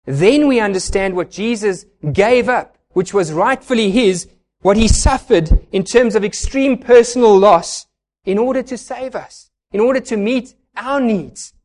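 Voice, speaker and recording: Male pastor, high voice, earnest explanatory sermon delivery. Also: a little mellow. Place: church.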